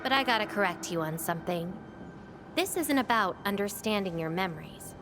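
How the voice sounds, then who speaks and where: A woman's voice: medium pitch, expressive, a little fast, noisy background, close to an everyday voice. Poised teasing fire-wielding young woman, voiced by an actress. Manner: poised, teasing, self-assured. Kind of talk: game dialogue. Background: game music.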